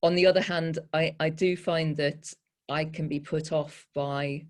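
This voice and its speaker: A poet and panel guest giving thoughtful, hesitant answers, often self-correcting and gently wry. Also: mid-range voice, fairly even intonation, unhurried.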